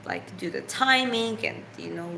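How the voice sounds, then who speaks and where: A woman's voice: mid-range voice, unhurried, creaky, pushed and bright, roomy sound. A woman in a casual live chat, talking readily and explaining her point in loose phrasing. A faint hiss sits under her voice. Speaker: live stream co-host.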